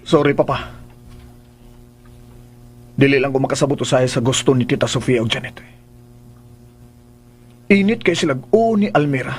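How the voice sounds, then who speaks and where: A man's voice: mid-range voice, normal speed, pauses often, husky, natural voice. A man playing a possessive, irritable young son of a rich household in a radio drama, stern and demanding, with a Bisaya accent. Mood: sullen. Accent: Filipino, Bisaya.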